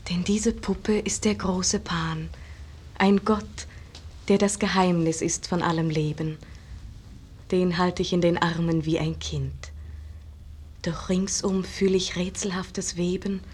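A dreamy young model in a vintage radio verse drama. Her stage German is lyrical and mysterious, pitched fairly low, with a husky softness and a lingering pace. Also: fairly even intonation, takes it slowly. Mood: dreamy awe. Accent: standard stage German. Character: dreamy, shy young woman, painter's model.

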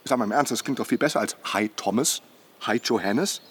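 An actor playing a boisterous, jovial middle-aged husband in a naturalistic radio drama, garrulous and bantering. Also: male voice, mid-range voice, brisk, a bit bright, husky. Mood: enthusiastic, mocking.

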